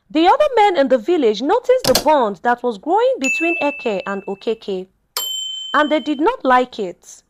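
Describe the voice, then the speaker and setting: High-pitched, animated woman telling a folktale. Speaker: storyteller. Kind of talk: scripted folktale narration.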